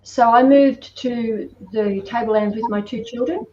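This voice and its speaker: A woman's voice: fairly high pitch, fairly even intonation, a little slow, a little mellow. Distant, echoey older Australian woman reminiscing. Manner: chatty, reminiscing. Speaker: podcast guest. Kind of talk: casual personal storytelling. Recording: muffled, distant echoey room, faint noise.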